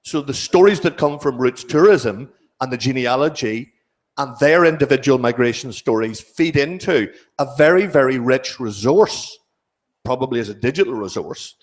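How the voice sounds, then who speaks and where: A man giving measured, reflective commentary at a panel discussion in a wood-panelled conference hall, speaking into a table microphone, sometimes restarting clipped words. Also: mid-range voice, animated.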